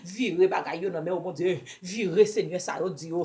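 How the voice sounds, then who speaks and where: A woman's voice: on the low side, a little fast, warm tone. A woman with a Haitian accent, a native Creole speaker, leading devotional prayer with repeated invocations and pleading. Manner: repetitive pleading with frequent invocations. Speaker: prayer leader. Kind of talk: scripture reading and fervent spoken prayer.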